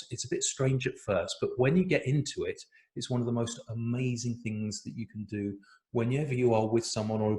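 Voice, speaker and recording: Gentle English man, low echoey voice. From England. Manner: chatty, gentle, with many fillers. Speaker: breathwork facilitator. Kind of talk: online workshop teaching. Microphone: video call.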